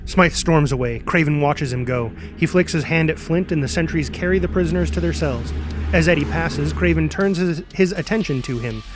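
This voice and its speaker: A man's voice: on the high side, normal speed, music in the background. A man reading scripted screenplay narration and stage directions dramatically, voicing the sound effects himself.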